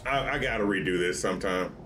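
A man's voice: deep voice, quick pace, fairly bright tone, slight background noise. A middle-aged American man giving casual, exasperated live gameplay commentary, poking fun at himself as he talks to viewers. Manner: exasperated, self-deprecating, talking to himself and viewers. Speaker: video game streamer. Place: home room, seated indoors.

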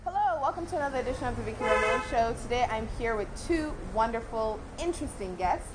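A woman's voice: on the high side, lively intonation, fast, loud music behind the voice. A woman in her thirties presenting and interviewing as a talk show host. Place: outdoor patio by a house. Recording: noisy outdoor ambience.

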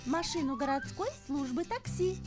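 Woman, children's cartoon narrator, sing-song swings in pitch, simple short phrases, loud music behind. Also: lively intonation, moderate pace. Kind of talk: scripted educational narration for small children.

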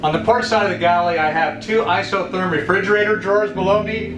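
A man's voice: high-pitched, steady tone, fast talker, recorded from a distance, noisy background. A middle-aged man giving an enthusiastic scripted tour of a yacht, describing each space as he walks through it. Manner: enthusiastic, descriptive, louder out on deck. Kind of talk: scripted walkthrough presentation. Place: aboard a yacht, cabins and open deck. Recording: roomy echo, voice a bit off-mic, music underneath.

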